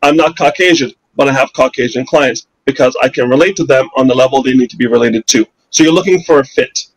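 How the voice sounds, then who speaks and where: A man's voice: medium pitch, fast talker, slightly rough, rough recording. A man from Canada, a financial advisor answering interview questions confidently and persuasively with rhetorical questions.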